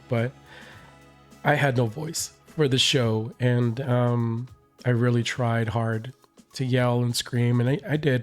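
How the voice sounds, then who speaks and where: Hoarse male vlogger, mid pitch, rambling casual recap, faint music underneath. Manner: rambling, many fillers and restarts. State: hoarse, recovering voice. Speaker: reaction channel host.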